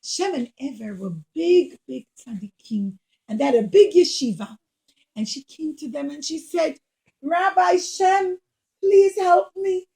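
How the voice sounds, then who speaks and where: A woman with a high voice tells a children's puppet story. She speaks in simple, expressive phrases and encourages her young listeners like a teacher. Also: normal speed, creaky, rough recording.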